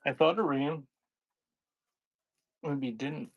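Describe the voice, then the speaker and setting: A man's voice: fairly high pitch, slow pace, highs cut off. A man hosting a live online sale, reading out items and chatting casually in an offhand way. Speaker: online live-sale seller of vintage cassettes. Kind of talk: casual live-stream selling chat.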